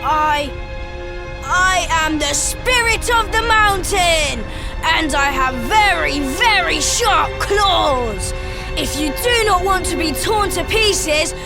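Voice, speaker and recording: A small childish creature putting on a big scary monster act. The voice is extremely high and creaky, the words very slow and blustering, in cartoonish game style with music behind. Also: female voice, lively intonation, exaggerated voice. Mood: blustering menace. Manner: halting, blustering threats. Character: childish little monster pretending to be a fearsome beast. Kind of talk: cartoonish video game voice acting.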